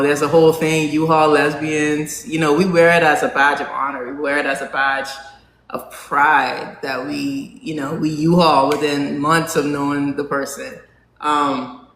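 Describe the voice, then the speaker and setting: A non-binary host in their forties chatting casually with a live audience, asking them questions and filling pauses with "um". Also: medium pitch, a bit bright. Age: 40s. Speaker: live talk show host. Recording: roomy echo, faint background hum.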